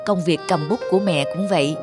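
Woman acting humble gentle mom in a cartoon, patient and earnest, music behind. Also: on the low side, fairly quick, natural voice. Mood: gently admonishing. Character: gentle, humble mother, a famous woman novelist. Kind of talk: animated moral-tale dubbing.

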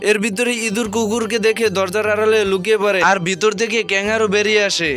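A man's voice: high-pitched, fairly even intonation, fast, a bit bright, faint music behind. A man narrating a scripted comic recap of a cartoon.

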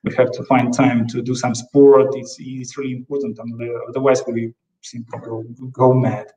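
Halting man on a roomy video call. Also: medium pitch, level tone, dark tone, distant mic. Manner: halting, many fillers and repeated words. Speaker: corporate counsel. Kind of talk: panel talk on a video call.

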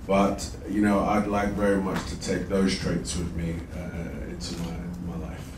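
Deep flat-voiced man, reflective actor. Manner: measured, reflective, with many fillers. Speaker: lead actor. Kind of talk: press conference interview. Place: press conference room. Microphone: handheld mic. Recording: roomy, from a distance, noisy room.

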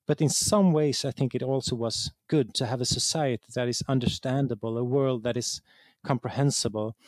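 Swedish man, halting and searching for words. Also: medium pitch, fairly even intonation, a little mellow, dry recording. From Sweden. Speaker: game designer being interviewed. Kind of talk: reflective interview talk.

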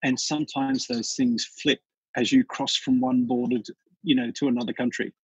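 A husky-voiced Australian man chats as a podcast guest, spinning anecdotes with lively energy and plenty of restarts. His voice sits at an ordinary middle pitch. The recording is dry and a little dull, like a lo-fi remote call. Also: normal speed, no echo. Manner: chatty storyteller, animated, frequent restarts. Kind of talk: casual interview chat.

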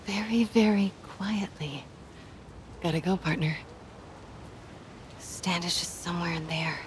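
An actress playing a bold, daring young female journalist who talks briskly and goes hushed when sneaking. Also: lowish voice, noisy background, close to an everyday voice. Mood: sneaky.

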